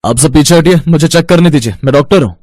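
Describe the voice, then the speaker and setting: Man playing a brisk cartoon doctor. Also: on the high side, darkish tone, no echo, lightly acted. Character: calm, brisk male doctor.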